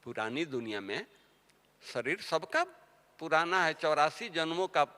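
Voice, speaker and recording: Guy giving a slow sermon, bright clear voice a bit high, long echo in the hall. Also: lively intonation. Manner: storytelling, rhetorical questions, swings between soft and loud. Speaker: spiritual teacher. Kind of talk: devotional sermon.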